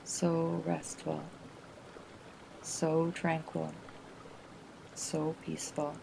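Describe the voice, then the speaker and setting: A woman narrating a guided relaxation exercise in a gentle, soothing manner, with unhurried phrasing and pauses. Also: deep voice, monotone, gravelly, noisy background.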